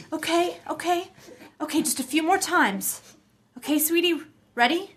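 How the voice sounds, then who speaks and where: A woman voicing a young mother in a drama, firm with her son and tender with her daughter. Her voice sits a little higher than most women's. She stays steady and level-headed. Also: noisy background. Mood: urgent. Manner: firm, gentle maternal.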